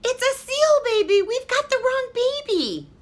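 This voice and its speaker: A woman voicing a startled father in a children's storybook, exclaiming loudly. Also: very animated, unhurried, full character voice. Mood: alarmed. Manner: loud, high exclamations.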